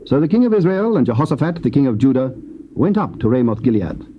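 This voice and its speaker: A man's voice: medium pitch, big pitch swings, fast talker. A man narrating scripture as formal, dramatic storytelling. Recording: muffled, noisy old cassette.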